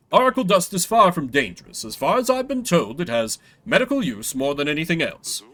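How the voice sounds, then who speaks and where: A man voicing a scheming noble lord in a video game, with a smooth-talking, persuasive sales manner. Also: a little high, a bit bright, heavily acted. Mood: defensive, coaxing. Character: scheming noble lord pitching a shady drug trade.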